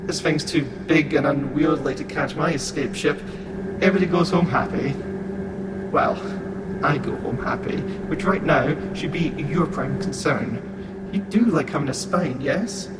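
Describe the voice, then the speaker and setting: An actor playing a greedy, menacing thief in an audio drama, sardonic and mock-polite. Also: male voice, on the high side, a little slow, natural voice. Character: sly, menacing, greedy male armed thief. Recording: loud vehicle-like background noise.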